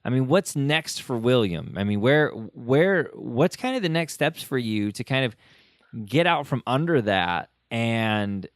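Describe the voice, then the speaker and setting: A man's voice: on the low side, no room sound, clean studio sound. A man hosting a casual interview, supportive and conversational, with rambling questions. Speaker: podcast host interviewing.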